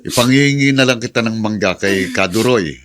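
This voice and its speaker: A man playing a patient father in a radio drama, gently admonishing and reassuring his child. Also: fairly low pitch, a little fast, natural voice. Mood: consoling. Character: patient, consoling father of a young boy. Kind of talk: Filipino radio drama acting.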